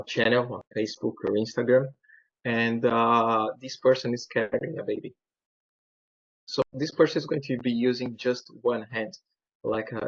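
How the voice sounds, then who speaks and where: A man from Brazil with a Brazilian Portuguese accent, giving a webinar presentation in a halting way with many fillers and restarts. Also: mid-range voice, no room sound, lo-fi sound.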